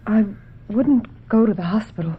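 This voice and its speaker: An actress playing a distraught, guilt-ridden, fragile woman in a radio mystery, halting and sometimes sinking into a whisper. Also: mid-range voice, natural voice. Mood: wistful. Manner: halting, breathy lapses into whisper, melodramatic. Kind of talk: old-time radio mystery acting. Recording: dull lo-fi old radio recording, music behind.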